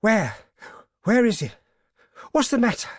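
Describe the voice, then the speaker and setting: A man voicing an anxious old husband with an unsteady, halting delivery in a dramatic storybook reading. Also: very high voice, sing-song, a little mellow, slightly rough, no room sound, studio quality, voice pushed up, exaggerated voice. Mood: alarmed.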